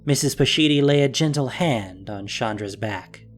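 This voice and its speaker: A man's voice: medium pitch, moderate pace. A man reading scripted story narration as the narrator of an audio drama. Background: music.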